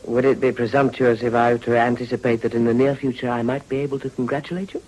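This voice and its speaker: An actor playing an urbane, world-weary Edwardian gentleman with an upper-class English accent and a dry, epigrammatic manner in a period radio drama. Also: medium pitch, fairly even intonation, fast talker, lightly acted, rough recording. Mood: dry, teasing.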